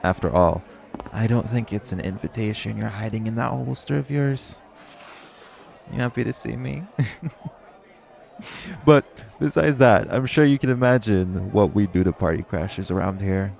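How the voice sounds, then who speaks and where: A man's voice: lowish voice, moderate pace, gravelly, pauses often, darkish tone. A man playing a teasing, flirtatious and menacing male vampire in an intimate audio roleplay, smug and sardonic. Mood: amused taunting.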